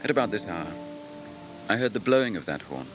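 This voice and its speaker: A stern noble english war captain in a radio play, slow grand phrasing, very animated pitch, soft music under it. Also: male voice. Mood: haunted, grieving. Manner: declamatory, grave, deliberate. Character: stern, noble warrior captain, probing and grave. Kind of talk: BBC radio drama acting.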